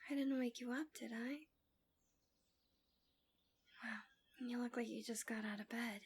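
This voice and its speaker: A woman voicing a gentle, coaxing, subtly manipulative fantasy villain in an intimate ASMR roleplay, soft and breathy, often near a whisper, close to the mic. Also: fairly high pitch, steady tone, fairly quick. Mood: tender, doting. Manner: soothing, doting, teasing.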